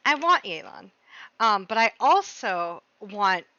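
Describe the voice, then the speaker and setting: Bright-voiced woman chatting on a game stream. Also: medium pitch, sing-song, slow, dry recording, muffled recording. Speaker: livestream host.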